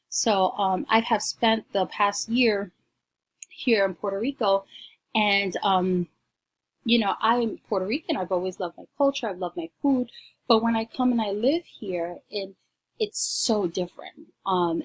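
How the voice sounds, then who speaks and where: A woman, giving a class presentation at home with many ums and pauses; she is shy on camera. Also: medium pitch, low-quality recording. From New York, United States. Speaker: student presenter.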